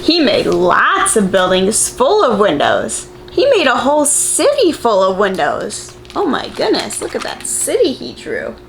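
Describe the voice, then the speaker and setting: A woman with a fairly high voice reads a children's story aloud. Her delivery is very animated and expressive, with simple, encouraging phrasing aimed at preschoolers. There is faint noise behind her voice. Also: a little slow, gravelly, few pauses. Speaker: preschool teacher reading picture books aloud. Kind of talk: children's story read-aloud with questions to young viewers.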